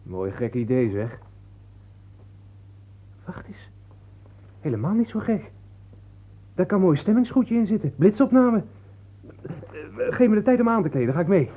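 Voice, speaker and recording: A male actor in a Dutch radio play, playing a skeptical, excitable photographer who talks bluntly and colloquially, full of questions. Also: sits high, measured pace, pauses often, heavily acted. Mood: eager. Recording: noisy, thin phone-like old radio recording.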